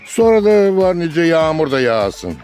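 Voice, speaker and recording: An actor playing a patient grandfather in a cartoon, telling stories in a gentle, unhurried way. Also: on the high side, big pitch swings, faint music behind. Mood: warm. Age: older. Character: kindly grandfather figure, patient elder. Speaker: grandfather character. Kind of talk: cartoon voice acting.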